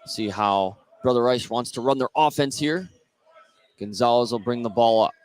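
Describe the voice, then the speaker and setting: A man calling live play-by-play of a high school basketball game for radio. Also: normal speed, rough recording. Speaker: play-by-play commentator. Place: courtside at an indoor basketball game.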